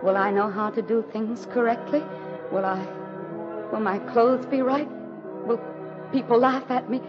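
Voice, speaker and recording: Woman acting a proud anxious president's wife, highish voice, theatrical and earnest, 1940s radio drama, muffled recording with music. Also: fairly even intonation, a little slow, close to an everyday voice. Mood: fearful, brooding. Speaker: lead actress and first-person narrator.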